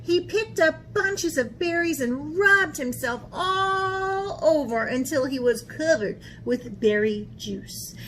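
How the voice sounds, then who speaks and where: A woman hosting a children's picture-book read-aloud as an art teacher, speaking in an animated way and putting questions to young listeners. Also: sits high, slow, hardly any pauses, slight background noise, poor audio.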